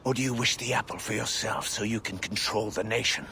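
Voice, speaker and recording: A low-voiced, calculating ruler. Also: male voice, vocal fry, pushed and bright, a little hiss or hum. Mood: smug, probing. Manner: measured, rhetorical, probing. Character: proud, calculating male ruler and commander, coolly persuasive. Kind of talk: dramatic video game acting. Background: music.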